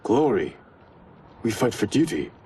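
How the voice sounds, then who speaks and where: An actor playing a dutiful, earnest younger warrior in a video game, with emphatic dramatic delivery. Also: male voice, on the low side, sing-song, measured pace, warm tone, recorded from a distance, slight background noise. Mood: urgent, earnest.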